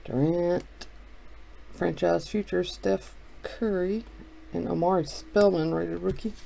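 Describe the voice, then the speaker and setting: A middle-aged man hosting a casual, relaxed chat, thinking aloud as he opens packs of cards. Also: high voice, takes it slowly, gravelly, noisy background. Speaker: card shop host. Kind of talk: casual chat while opening card packs.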